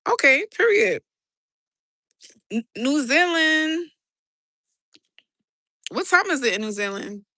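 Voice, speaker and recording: A woman with a New York accent, chatting casually and excitably on a live stream from a city rooftop, talking into her phone. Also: high-pitched, slow pace, long pauses, dry recording. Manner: chatty, swearing, rambling and excitable. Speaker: livestreamer. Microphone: handheld phone.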